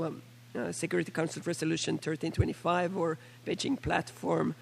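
Finnish woman MP, hoarse and gravelly, fairly high pitch but a flat monotone delivery, halting with fillers. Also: slight background noise. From Finland. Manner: halting, with many fillers and restarts. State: hoarse from a flu. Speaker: member of parliament. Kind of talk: formal floor statement at a panel meeting.

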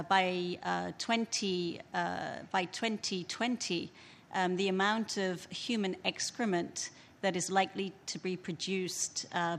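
A woman in a large conference hall making a polite, halting comment from the floor, with many fillers. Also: lowish voice, fairly bright tone, slight background noise. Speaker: conference participant from a toilet maker. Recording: long hall reverb with faint ambience.

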